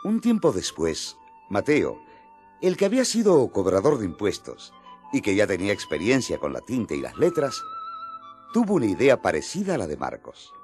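An actor playing a solemn storyteller, narrating a radio drama with a Latin American accent. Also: male voice, on the low side, huge pitch swings, normal speed, darkish tone. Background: music.

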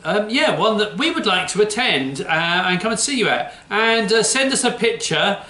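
A man's voice: high-pitched, big pitch swings, normal speed, sharp tone. A man in his fifties, cheerily and jokily presenting to children in a chatty way. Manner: cheery, jokey. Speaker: children's church programme presenter. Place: indoors in front of a plain backdrop. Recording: roomy echo.

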